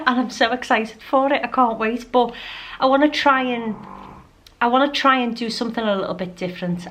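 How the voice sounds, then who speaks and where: A woman's voice: mid-range voice, fairly bright tone. A middle-aged woman chatting informally and ramblingly to camera as a lifestyle vlogger. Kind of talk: casual chatty vlog to camera. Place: at home.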